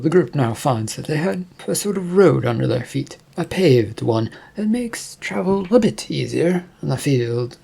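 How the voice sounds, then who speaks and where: A man narrating his own fantasy story, halting in places, with a husky, gravelly voice. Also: mid-range voice, a little mellow.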